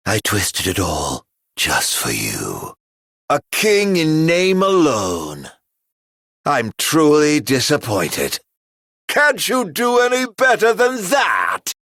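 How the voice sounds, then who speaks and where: A grandiloquent immortal male villain from a video game, mocking and hungry for revenge. The voice is deep and rough with gravel, drawn out very slowly as he savors each sardonic phrase. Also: dry recording, studio quality, exaggerated voice. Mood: scornful. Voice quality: gravelly, husky. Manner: drawling, grandiloquent, sardonic, swinging from murmurs to shouts.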